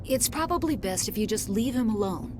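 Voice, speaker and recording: An actress playing a protective, soothing scientist in a video game. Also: female voice, animated, fairly quick, natural voice. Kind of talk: dramatic game dialogue. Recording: loud ambient rumble behind.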